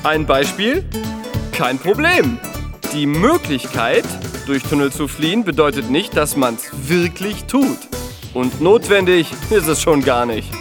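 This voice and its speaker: An actor playing a flippant, sing-song presenter of a mock philosophy show for dummies in a satirical radio play. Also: male voice, fairly high pitch, sing-song, a little slow. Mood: mock-cheerful lecturing. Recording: music behind the voice.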